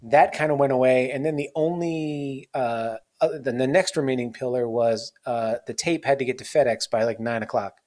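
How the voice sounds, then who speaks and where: A man's voice: medium pitch, normal speed, hardly any pauses, a little mellow. A man in his forties hosting a podcast, chatting in a rambling, self-mocking way with lots of fillers. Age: 40s. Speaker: podcast host. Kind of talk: casual interview chat.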